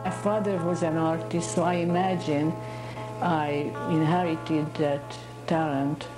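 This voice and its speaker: A painter from Hungary, a woman speaking English with a Hungarian accent, talks about her art in a halting, searching way. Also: deep voice, steady tone, roomy sound, over music, low-quality recording. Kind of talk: interview monologue.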